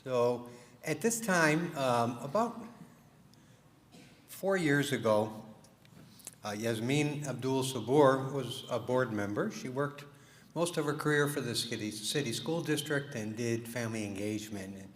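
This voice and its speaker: A man giving an informal, halting speech as a presenter at an awards dinner. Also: mid-range voice. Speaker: organization host and award presenter. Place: large room at a live fundraising event. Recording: echoey hall, audience noise.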